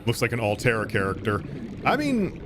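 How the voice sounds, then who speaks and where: A man's voice: mid-range voice, wildly swooping pitch, fairly quick. Chill guy streaming from home, lots of uh and like, laughs a bunch, bit of hum in the back. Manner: rambling, restarts and fillers, laughs often. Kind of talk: casual gaming stream chat, reading donations.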